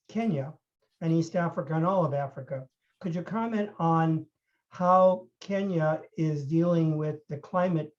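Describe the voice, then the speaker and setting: Male interviewer, conversational but halting, on muffled lo-fi call audio. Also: fairly high pitch, darkish tone. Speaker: interviewer, university president. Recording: dull, band-limited call audio.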